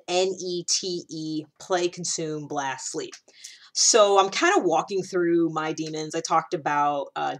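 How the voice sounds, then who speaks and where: A woman's voice: lowish voice, a bit bright. A woman hosting a series as a casual solo explainer, talking informally and often breaking off into asides. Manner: informal, rambling and self-interrupting, with frequent asides. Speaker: host of a personality-typing series.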